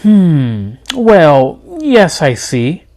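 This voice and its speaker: A man voicing a fussy, bumbling male doctor in a storybook, with a deliberate, exclaiming delivery. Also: on the high side, sing-song, a little mellow, heavily acted. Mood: ponderous. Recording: music behind the voice.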